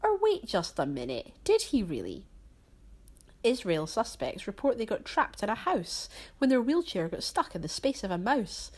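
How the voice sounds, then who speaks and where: A woman reading rhyming storybook narration as a storyteller, with theatrical, drawn-out emphasis. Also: medium pitch, wildly swooping pitch, husky.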